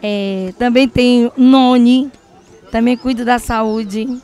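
A woman's voice: a little high, steady tone, takes it slowly, a crowd in the background. An older Brazilian woman chatting informally as a market vendor in an outdoor street interview. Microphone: handheld reporter microphone.

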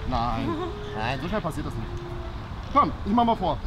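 A young man chatting casually outdoors in an animated way, directing a playground game as a video host. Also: fairly high pitch, moderate pace, over music. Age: young adult. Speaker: YouTube host.

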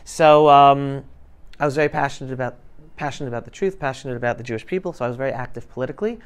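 Clear-voiced man giving an emphatic talk. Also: mid-range voice, racing. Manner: emphatic, questioning the audience. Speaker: guest speaker. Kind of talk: informal talk to high school students. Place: classroom, indoors. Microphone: lapel mic.

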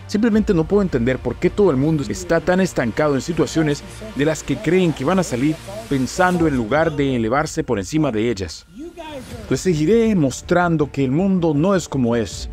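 A man's voice: on the high side, expressive, normal speed. A man giving a dubbed motivational speech in an earnest, emphatic tone, recorded as a studio voice-over. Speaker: motivational speaker. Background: soft background music.

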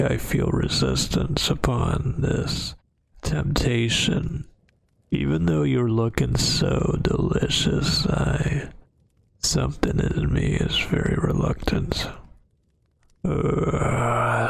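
Man voicing a gravelly, slow, brooding werewolf. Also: medium pitch, full character voice. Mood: strained, menacing. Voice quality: gravelly, breathy, husky, often half-whispered. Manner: slow, halting, brooding, with long pauses. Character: dominant male werewolf, menacing yet hesitant, fighting hungry cravings. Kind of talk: breathy ASMR boyfriend roleplay monologue.

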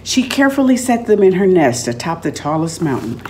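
Older woman, slightly low voice, dramatic read-aloud storyteller, noisy home recording. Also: sing-song, fairly quick, warm tone. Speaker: author reading aloud from own book. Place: room at home, indoors.

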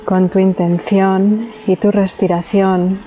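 A woman from leads a guided meditation in a calm, soothing way, leaving long pauses between phrases. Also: medium pitch, a little hiss or hum.

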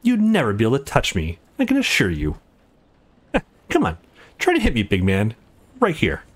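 Man acting out a sneering, cocky young male game bully, contemptuous, with swinging pitch. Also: huge pitch swings, normal speed, dry recording. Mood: taunting. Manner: taunting, contemptuous.

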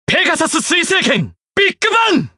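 A man's voice: very high-pitched, huge pitch swings, a little fast, no echo, clean studio sound, heavily acted. A man voicing a hot-blooded, fiercely loyal teenage boy warrior in an anime battle game, brash and defiant. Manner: shouted attack calls, brash and defiant.

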